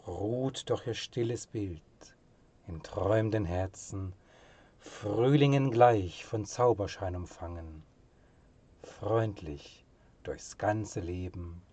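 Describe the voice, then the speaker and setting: A man reciting literary poetry in a solemn, measured and expressive manner, with phrase endings that drop to a hush. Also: lowish voice, slightly rough.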